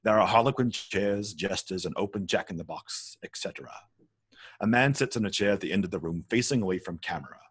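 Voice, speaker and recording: A man narrates a screenplay, reading out scene headings and stage directions. His voice is very low and husky, captured close and dry. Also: brisk, a bit bright, no echo. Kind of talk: scripted narration at a table read.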